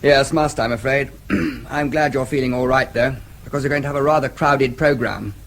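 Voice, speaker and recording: An actor playing a brisk, dry-humoured English diplomat and protective brother in a radio drama, with an English accent. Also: male voice, mid-range voice, fairly even intonation, fairly bright tone, natural voice. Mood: brisk, affable. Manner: brisk, explanatory, dryly teasing. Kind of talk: BBC radio drama acting.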